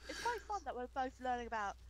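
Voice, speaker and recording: A woman's voice: high voice, big pitch swings, fast talker, background noise. A young English woman with a Midlands accent, chatting casually and giggling while running a quiz. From England. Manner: giggly, chatty, rambling explanations. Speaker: quiz co-host.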